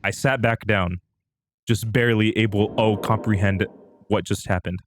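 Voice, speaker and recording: Male livestream narrator, fairly low register, reading amateur horror fiction aloud, slight noise behind. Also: steady tone, normal speed. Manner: breaks off into amused asides.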